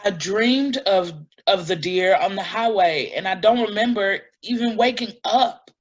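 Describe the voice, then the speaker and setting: An actress playing a shaken, earnest woman giving deliberate testimony in a dramatic staged reading, on a lo-fi recording. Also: mid-range voice, close to an everyday voice. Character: shaken, earnest woman recounting an eerie night in woods.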